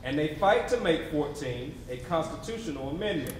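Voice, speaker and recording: A man delivering scripted theatrical narration on stage in an animated, emphatic, conversational way with wry asides. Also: a little high, normal speed, slight background noise. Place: theatre stage before a live audience. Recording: echoey theatre, distant mic, audience around. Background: audience murmurs and call-and-response.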